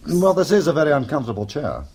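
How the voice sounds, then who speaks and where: A man acting a cheeky small-time crook in a radio drama, flippant and sardonic, with a husky voice. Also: a little high, very animated, quick pace, a little mellow, full character voice.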